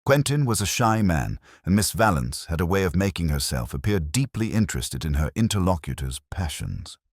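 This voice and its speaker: Man voicing a genteel, worried Victorian woman, low-pitched. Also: very animated, moderate pace, no echo, clean studio sound, exaggerated voice. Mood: reserved, recollecting. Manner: polite, reserved, formal. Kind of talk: audiobook character dialogue.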